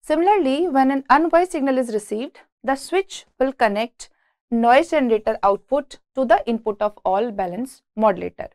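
Woman, Indian accent, high voice, engineering lecturer, explaining slides, dry close sound. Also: lively intonation, normal speed, darkish tone, no room sound. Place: indoor lecture room at a presentation board.

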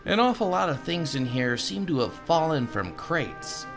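A man voicing an earnest, excitable young male adventurer in game dialogue, inquisitive and dramatic. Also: fairly high pitch, measured pace, over music, pitched above the performer's own voice. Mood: curious. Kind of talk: game dialogue read aloud.

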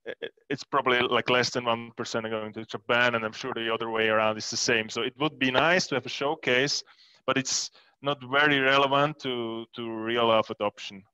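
Brief: thirties man, bright, crisp, dry close headset sound, matter-of-fact. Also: mid-range voice, steady tone, a little fast, no room sound, dull audio. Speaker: panelist. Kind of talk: panel discussion over video call. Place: room with plant wall indoors. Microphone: headset mic.

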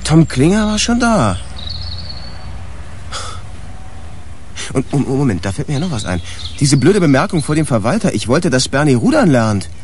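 An actor playing an excitable, blunt man who is easily outraged, in a German radio crime drama, with colloquial, incredulous delivery. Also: a little high, brisk, slight background noise. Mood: alarmed, outraged. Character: excitable, blunt male repair-shop owner, easily outraged.

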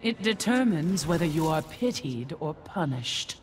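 An actress playing a stern, righteous goddess in a video game, speaking formally and gravely. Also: female voice, low voice, big pitch swings, a little slow, background noise. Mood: solemn judgment. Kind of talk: video game fight intro dialogue.

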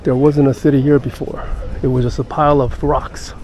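An older man giving casual walking-tour narration as a travel vlogger, halting and pausing mid-sentence. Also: mid-range voice, flat delivery, darkish tone. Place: outdoors in busy city streets and squares. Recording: outdoor city street noise.

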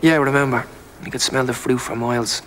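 A man's voice: medium pitch, steady tone, quick pace, background noise, lightly acted. Young working-class Dublin man played by an actor. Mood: polite, hopeful. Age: young adult. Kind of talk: naturalistic television drama acting.

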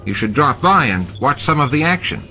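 A man voicing a scheming, greedy male alien bar owner, raising his voice high with indignant, wheedling protests. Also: very animated, a little fast, sharp tone. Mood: protesting. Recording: dull lo-fi with music behind.

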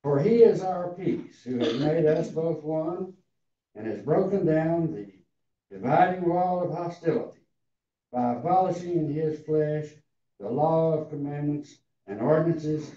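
Elderly male preacher in his 80s, raspy and dark-toned, heard from afar in a roomy church hall. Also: a little high, lively intonation. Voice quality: raspy, tender voice. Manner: slow, deliberate, halting reading. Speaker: preacher reading scripture. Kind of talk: sermon with scripture reading. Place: large church hall before a seated congregation. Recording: roomy, distant, dull hall sound.

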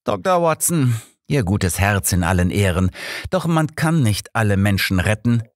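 A cool, blunt old detective, played by a male narrator, speaks with authority in an audiobook story. The voice is pitched up and swings in a lively way, recorded close and dry. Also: moderate pace, no room sound. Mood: matter-of-fact. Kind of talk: audiobook character dialogue.

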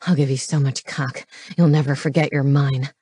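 Woman voicing a low, possessive male lover. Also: steady tone, normal speed, dark tone, no room sound, studio quality. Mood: commanding, passionate. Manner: low, breathy, growling delivery. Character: possessive, tender male lover in paranormal romance. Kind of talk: romance audiobook character voice.

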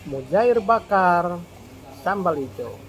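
A man's voice: high voice, animated, takes it slowly. Chatty man vlogging from a noisy cafe. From Indonesia. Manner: chatty, keeps calling the viewer "brother". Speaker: food vlogger. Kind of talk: casual food review vlog. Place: open-air cafe, evening. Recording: noisy cafe phone recording.

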